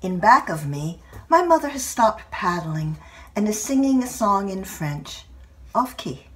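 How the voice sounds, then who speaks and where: An older woman reads a literary personal essay aloud in a measured, expressive storytelling manner. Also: medium pitch, far from the mic, slight background noise, poor audio. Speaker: writer reading own essay.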